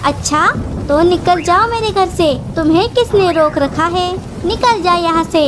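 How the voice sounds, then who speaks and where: महिला की आवाज़ में बेहद ऊँचे सुर वाली घबराऊ बूढ़ी बुआ चिड़िया. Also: female voice, extremely high pitch, unhurried, noisy background. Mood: scolding. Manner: alarmed, lamenting. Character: fretful, excitable old aunt bird in a children's cartoon. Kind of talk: Hindi children's cartoon dialogue.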